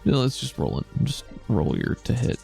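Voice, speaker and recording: A man narrating as game master in a casual tabletop session, relaxed and hesitant, thinking aloud with many fillers. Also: medium pitch, normal speed, gravelly, soft background music.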